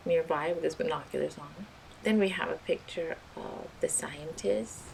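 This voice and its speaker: Low-pitched woman reading a storybook. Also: vocal fry, noisy background. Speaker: read-aloud storyteller. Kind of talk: children's storybook narration.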